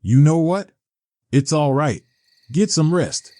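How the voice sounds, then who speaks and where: A man's voice: on the high side, animated, slow pace, warm tone, dry recording, studio quality. A man playing an agitated, embittered homeless middle-aged ex-teacher, blunt, folksy and profane, in a dramatized comic. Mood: resigned, kindly.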